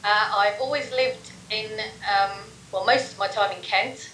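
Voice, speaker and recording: A woman's voice: medium pitch, measured pace, fairly bright tone, distant mic. A middle-aged woman with a London accent answering interview questions, friendly but hesitant, with lots of ums as she lists things. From London, England. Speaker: interview guest, personality test practitioner. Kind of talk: casual interview. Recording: echoey room, faint noise, off-mic.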